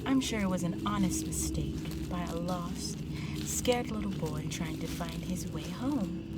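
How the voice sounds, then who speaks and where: Vampire countess for audio roleplay, played by a woman: female, lowish voice, very slow, seductive, possessive, breathy, politely condescending. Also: very noisy, natural voice. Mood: silky, reassuring. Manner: teasing, condescending, elegant, slow and deliberate. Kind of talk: roleplay audio drama monologue. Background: music.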